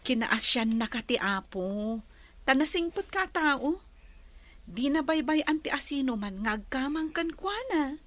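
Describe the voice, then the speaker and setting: A woman's voice: high voice, lively intonation, unhurried, a bit bright. A woman acting a kindly, encouraging cousin with an Ilocano accent in a radio drama. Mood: comforting. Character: kindly, encouraging female cousin comforting a new mother.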